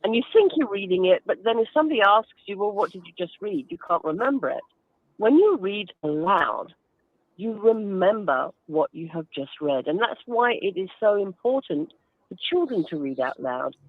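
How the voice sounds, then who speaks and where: A woman's voice: medium pitch, very animated, moderate pace, no echo, narrow, tinny audio. A woman giving explanatory interview answers as a guest, instructive and emphatic, with everyday examples. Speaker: guest author and reading advocate.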